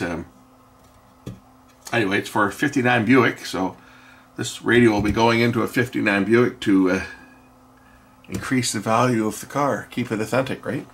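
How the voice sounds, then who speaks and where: A man giving an unscripted, hands-on technical explanation in a halting way, often pausing and repeating himself to correct what he says. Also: on the low side, a bit bright. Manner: halting, self-correcting, with frequent pauses and repeats. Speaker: host of an electronics repair channel.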